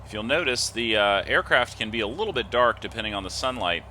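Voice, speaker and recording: Bright, forceful low-pitched man giving instruction. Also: animated, fast, a little hiss or hum. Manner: instructional, methodical, reading off checklist items. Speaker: host of a flight simulator video series. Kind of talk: step-by-step tutorial commentary over a flight simulator.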